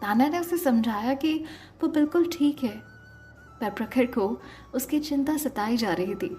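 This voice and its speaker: A woman's voice: sits high, a little slow, dark tone, soft background music. A woman narrating an emotional audio story as a storyteller. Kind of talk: dramatic story narration with acted dialogue.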